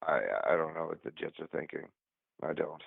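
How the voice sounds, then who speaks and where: A man from Long Island with a Long Island accent, talking sports in a rambling, halting way, full of fillers. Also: very deep voice, completely flat delivery, creaky, slightly rough, dry recording. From Long Island, New York. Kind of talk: casual sports talk. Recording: tinny phone line.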